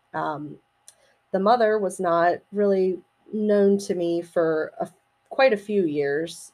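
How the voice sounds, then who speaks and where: Podcast host: middle-aged woman, slightly raspy, on the low side, informal solo monologue, reflective and halting. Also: darkish tone. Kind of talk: casual personal podcast monologue.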